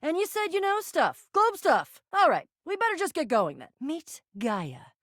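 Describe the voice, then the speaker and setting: A woman's voice: very high voice, wildly swooping pitch, a bit bright, dry recording, clean studio sound, heavily acted. A young American woman voicing a bossy, sarcastic, theatrical and easily flustered female adventurer in a video game. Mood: brisk, wry. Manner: theatrical, exasperated, quick to swing between bravado and fluster.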